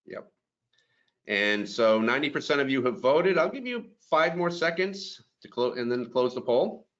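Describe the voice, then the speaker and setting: A man's voice: mid-range voice, animated, moderate pace, a bit bright, dull audio. A man in his fifties hosting a career webinar, upbeat and friendly as he runs polls and moderates. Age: 50s. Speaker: webinar host.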